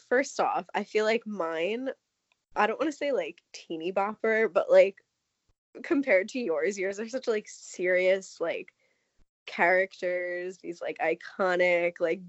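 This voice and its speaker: A woman's voice: fairly low pitch, no echo. A woman co-hosting a podcast, nasal and scratchy from a cold, rambling with a constant "like". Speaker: podcast co-host. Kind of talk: casual podcast chat. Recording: dry, slightly dull lo-fi audio.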